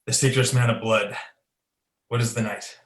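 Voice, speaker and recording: A man's voice: lowish voice, steady tone, moderate pace, lightly acted. A young man playing an ambitious, guilt-ridden king in a Shakespearean radio play, declaiming in a commanding, theatrical way. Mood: brooding, resolute. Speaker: student performer. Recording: echoey room.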